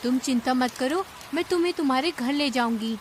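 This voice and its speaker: Woman voicing an earnest, high-pitched cartoon bird. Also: a little fast, slight background noise, lightly acted. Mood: concerned, kindly. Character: caring, earnest, righteous female bird of the forest. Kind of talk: Hindi animated animal fable dialogue.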